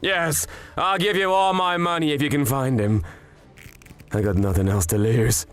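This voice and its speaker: An actor playing men in a grim manga revenge drama. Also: male voice, a little high, fairly even intonation, moderate pace, close to an everyday voice. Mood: desperate, vengeful. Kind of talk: dubbed manga drama dialogue. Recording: music behind the voice.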